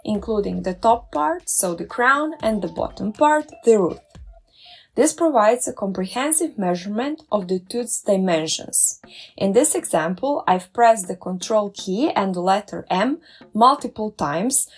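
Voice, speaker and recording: A woman narrates a tutorial at a medium pitch, upbeat and careful as she walks through each step. Faint music plays softly behind her voice. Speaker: dentist and doctoral researcher.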